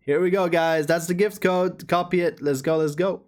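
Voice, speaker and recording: A man's voice: high voice, steady tone, moderate pace, darkish tone, faint music behind. A man giving casual, slangy, enthusiastic reaction commentary as a gaming video creator.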